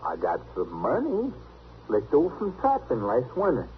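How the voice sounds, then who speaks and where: A man's voice: mid-range voice, huge pitch swings, normal speed. A half-wild backwoods man in a vintage radio western, his Southern drawl swinging broadly up and down. He is artless, good-natured and obstinate, heard through a narrow, slightly hissy old recording. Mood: stubborn, earnest. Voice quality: rustic drawl. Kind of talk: 1950s radio western acting. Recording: band-limited, noisy old radio recording.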